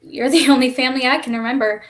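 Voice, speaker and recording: A woman's voice: sits high, steady tone, quick pace, lightly acted. A woman playing a reassuring, teasing child in a staged reading of a play. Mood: puzzled. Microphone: video call.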